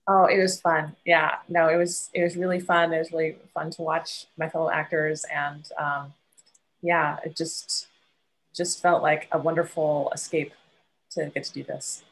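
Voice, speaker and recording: A woman in her thirties with a slightly low voice and very even intonation. She answers informally as an actor on a video call. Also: normal speed, low-quality recording. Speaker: actor in a table read. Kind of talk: casual Q and A answer.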